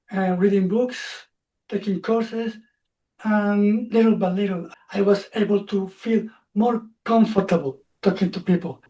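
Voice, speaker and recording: A man in his forties, speaking English as a second language, telling his story as a coach guest in a reflective, halting way. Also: high-pitched, dark tone, far from the mic, low-quality recording. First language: not English. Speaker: social anxiety coach. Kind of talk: interview guest, personal story.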